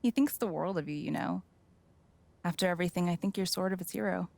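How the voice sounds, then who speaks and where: An actress playing a guarded, grieving woman in a video game, halting and holding herself together, in a naturalistic style. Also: fairly low pitch, creaky, a little mellow. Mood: subdued, grateful.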